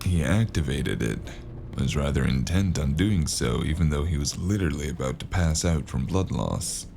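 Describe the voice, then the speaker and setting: Very deep, gravelly noir detective played by a man. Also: normal speed, slight background noise. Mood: brooding narration. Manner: laconic, deadpan. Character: weary wounded noir detective, gruff, dry-witted, narrating his case. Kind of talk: noir audio drama narration and dialogue.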